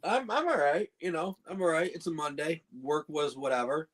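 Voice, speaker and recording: A man with a slightly higher voice than most, co-hosting a laid-back podcast chat. He is agreeable and easygoing, often echoing his partner and laughing along. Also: normal speed. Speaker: podcast co-host. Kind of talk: casual chat.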